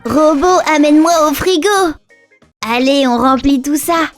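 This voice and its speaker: A woman voicing an excitable little lion cub boy in rhyming, exclamatory lines for a children's cartoon. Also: high-pitched, a little slow. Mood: eager, exclamatory. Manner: exclamatory, sing-song rhyming. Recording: children's music behind the voice. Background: children's music.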